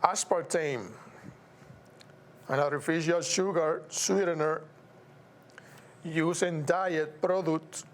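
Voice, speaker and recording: A Puerto Rican man hosts his own talk show and speaks at an unhurried, deliberate pace. His voice sits a little high for a man, and he stresses points by repeating words. A faint hiss of noise runs under the recording. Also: steady tone. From Puerto Rico. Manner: measured, emphatic, repeats words and stumbles over some. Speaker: show host. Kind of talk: slow, deliberate solo commentary.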